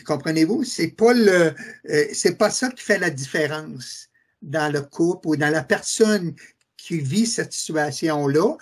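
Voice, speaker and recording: A man's voice: a little high, breathy edge. A middle-aged man from Quebec City with a Québécois French accent, earnestly giving testimony as an interview guest, repeating his affirmations and hesitating often. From Quebec City, Canada.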